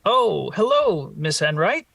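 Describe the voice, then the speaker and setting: An actor plays a bashful, naive male teacher in an old radio comedy. He is slow and hesitant yet lilting, recorded slightly away from the mic. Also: sits high, sing-song, creaky, a bit bright, husky, no echo, distant mic, exaggerated voice. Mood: mild, agreeable. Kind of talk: vintage radio comedy acting.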